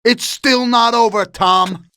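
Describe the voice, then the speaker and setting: A man's voice: extremely high pitch, fairly even intonation, slow, bright, forceful tone, clean tone, no echo, studio quality, full character voice. A man playing a bitter, menacing American mobster who taunts an old friend in a crime game. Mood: taunting. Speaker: voice actor in a game cutscene. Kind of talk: dramatic crime game acting.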